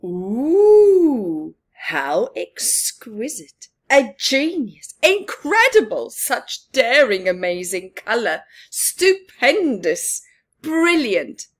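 Woman acting a gushing, theatrical male art admirer, swooping pitch. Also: very animated, exaggerated voice. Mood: gushing, amazed. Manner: exclamatory, drawn-out, theatrical praise.

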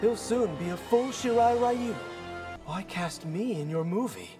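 A young, friendly ninja from a fighting game. He is earnest and plain-spoken, with a lightly raised pitch and varied melody. There is music behind him. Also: expressive, measured pace. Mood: earnest friendliness. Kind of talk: video game fight-intro banter.

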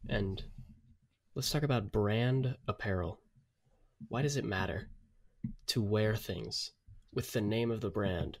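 Guy on a podcast, voice a bit low, casual jokey chat, emphatic and informal. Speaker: podcast co-host.

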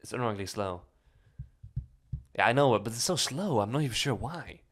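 A man's voice: medium pitch, animated, normal speed, a bit bright. A young man in his twenties with a Dutch accent, chatting casually on a live stream into a studio mic, rambling with lots of fillers and swearing. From Netherlands. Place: small dark room indoors. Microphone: studio mic on a boom arm.

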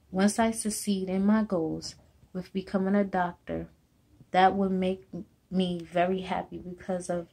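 Young Jamaican woman, slow halting reading. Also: lowish voice, warm tone, poor audio. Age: young adult. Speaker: student. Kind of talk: reading a personal essay to camera. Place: small indoor room at home. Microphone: webcam or laptop camera close up.

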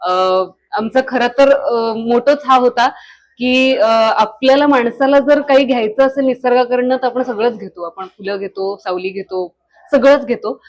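A woman's voice: fairly high pitch, fairly even intonation, a little slow. A young woman from coastal Konkan, earnestly explaining her work in a conversational interview. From Sindhudurg, Konkan, India. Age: young adult. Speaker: playwright and theatre artist being interviewed.

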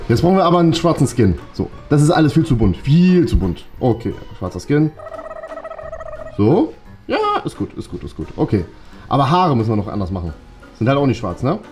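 A man's voice: mid-range voice, darkish tone. A man in his twenties chatting casually as a video host and gaming commentator, jokey, often repeating short phrases. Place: indoor rooms, studio and office. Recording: music behind the voice. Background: background music.

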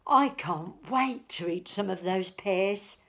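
A woman's voice: mid-range voice, animated, slow, a bit bright, full character voice. A woman voicing a friendly but fussy duck from a children's storybook, sing-song and emphatic. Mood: pleased.

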